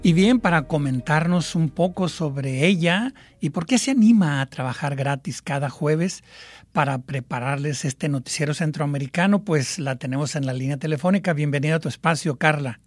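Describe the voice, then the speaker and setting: A man's voice: on the high side, normal speed, no room sound, studio quality. A man from Mexico hosting a radio interview, rambling with lots of fillers. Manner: warm rambling with many fillers. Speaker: radio host.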